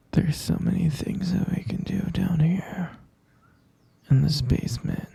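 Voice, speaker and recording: A man playing a tender but delusional, possessive captor in an intimate ASMR roleplay, coaxing in a breathy, gravelly whisper close to the microphone. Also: a little high, mellow, no echo, heavily acted. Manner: coaxing, slow, cooing, insistent.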